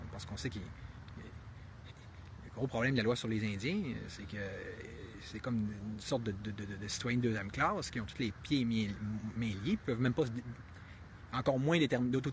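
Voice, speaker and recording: A Québécois man talks off the cuff on a live stream, circling his point with restarts and fillers. His voice sits at an ordinary middle pitch for a man, and he grows earnest and pointed when he asks himself questions. A faint hum of room ambience hangs behind him. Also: brisk. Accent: Québécois French. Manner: rambling, thinking aloud with many restarts and fillers; earnest, with bursts of emphatic rhetorical questions. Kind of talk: unscripted live commentary.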